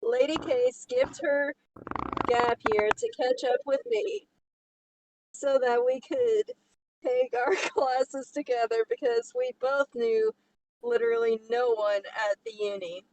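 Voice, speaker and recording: Woman from texas, kinda high voice, reads slow, keeps breaking off for sarcastic little asides. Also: background noise. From Texas, United States. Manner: playful, self-interrupting, sarcastic. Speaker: co-host reading a story aloud. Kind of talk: casual story reading with chatty asides.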